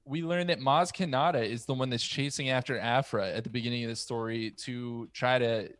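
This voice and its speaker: Guy presenting on a casual podcast, medium pitch, really dry close mic sound. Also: steady tone, fairly quick, fairly bright tone, clean tone, no echo. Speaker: podcast panelist presenting notes. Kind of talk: casual roundtable chat. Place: small room at home. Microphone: close boom microphone.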